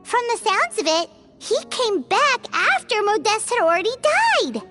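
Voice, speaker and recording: A woman voicing a small, childlike, inquisitive sidekick in a video game, musing out loud in a tiny voice. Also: extremely high pitch, very animated, unhurried, exaggerated voice. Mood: pondering. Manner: musing, speculating aloud. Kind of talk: video game character dialogue. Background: music.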